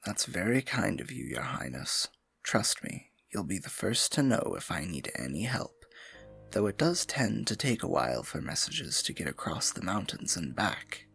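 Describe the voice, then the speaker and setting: Scheming fantasy royal advisor, male actor: gravelly, highly animated, intimate and patronizing, with soft music underneath. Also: normal speed, heavily acted. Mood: feigned sympathy. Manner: silky, condescending, mock-sympathetic, often dropping to a murmur. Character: smooth-talking scheming male royal advisor, falsely sympathetic. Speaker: voice actor in an audio roleplay. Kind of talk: fantasy ASMR roleplay acting, intimate and theatrical.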